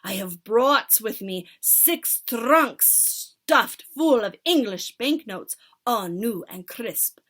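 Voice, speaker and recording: A woman doing the shrieking Grand High Witch. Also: lively intonation, slow, hardly any pauses, fairly bright tone, exaggerated voice. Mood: commanding. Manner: shrieking, foreign-sounding odd grammar. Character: tiny furious tyrannical old female witch leader.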